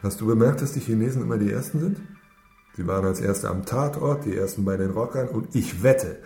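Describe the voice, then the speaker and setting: Low, gravelly police squad leader played by a man. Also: a little fast, dark tone, echoey room, soft background music, lightly acted. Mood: terse, businesslike. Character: sober, pragmatic male police squad leader. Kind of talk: German radio crime drama acting.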